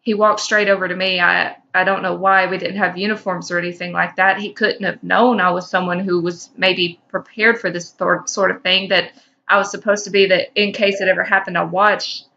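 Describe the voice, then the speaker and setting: A woman's voice: on the low side, flat delivery, fairly quick, creaky, bright, forceful tone, highs cut off. A woman co-hosting a podcast, speaking informally, whether reading fan fiction aloud or bantering. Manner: informal, teasing, profane. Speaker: podcast co-host.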